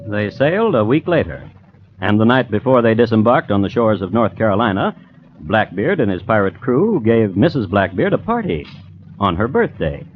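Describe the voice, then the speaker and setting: A man's voice: lowish voice, big pitch swings, normal speed. A man giving scripted narration in an old-time radio drama. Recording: muffled, slightly noisy vintage radio recording.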